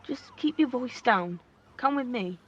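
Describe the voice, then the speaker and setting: A woman acts a bossy, secretive young informant in an audio drama. Her voice is high and swings widely. The recording is dull, with clear background noise. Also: lively intonation, measured pace. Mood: brash, urgent. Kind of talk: dystopian audio drama acting.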